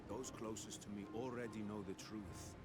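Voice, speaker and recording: A man's voice: on the low side, steady tone, normal speed, natural voice. Man acting an earnest, stately counselor over music. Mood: earnest, pleading. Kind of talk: dramatic video game voice acting. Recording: music behind the voice.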